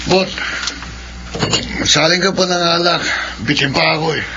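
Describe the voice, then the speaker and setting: A Filipino actor playing a shifty, hot-headed young thug with a gravelly voice in a radio drama. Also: male voice, a little high, fairly even intonation, unhurried, over loud music. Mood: grumbling. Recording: dull, noisy lo-fi radio.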